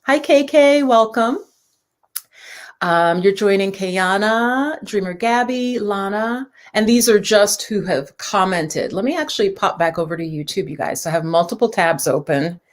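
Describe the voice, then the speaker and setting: A woman's voice: mid-range voice, measured pace. A woman from New York hosting a casual live stream, reading viewers' comments aloud and chatting loosely with fillers and asides. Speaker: livestream host.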